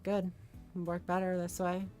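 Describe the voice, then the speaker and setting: A demanding, commanding young-gamer type of female teammate, as a woman reader plays her in a visual novel. Her voice sits on the low side and she speaks bluntly, over faint music. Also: completely flat delivery, unhurried, mellow, natural voice. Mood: bossy.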